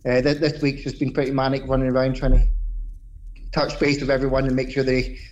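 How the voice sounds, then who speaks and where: Plain-spoken soldier on a dull remote line. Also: male voice, medium pitch, monotone, brisk, darkish tone, a little hiss or hum. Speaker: army sergeant, interview guest. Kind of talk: informal phone interview answers.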